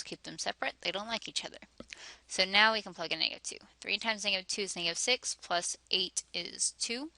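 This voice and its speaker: Slightly hoarse woman teaching math. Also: medium pitch, pushed and bright, dry recording. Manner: methodical, talks through each step aloud. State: slightly hoarse, losing her voice. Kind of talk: step-by-step math lesson.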